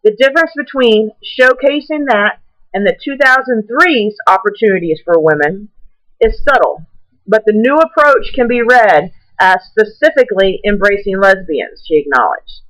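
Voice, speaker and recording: A woman reading a prepared essay aloud in a deliberate, measured way, now and then stumbling over a word. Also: medium pitch, animated, fairly bright tone, low-quality recording.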